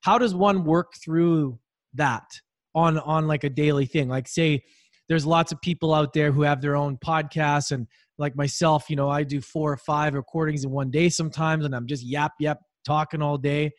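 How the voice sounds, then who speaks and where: A man's voice: on the high side, steady tone, moderate pace, no room sound, clean studio sound. A man hosting a podcast who asks long, rambling questions that he admits he overloads. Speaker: podcast host. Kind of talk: casual interview questions.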